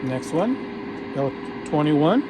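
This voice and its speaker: A man casually narrating a hands-on radio repair tutorial while he works, relaxed and restarting his phrases often. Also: fairly high pitch, takes it slowly. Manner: relaxed, talks while working, frequent restarts. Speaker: vintage radio repair hobbyist. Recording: audible background noise.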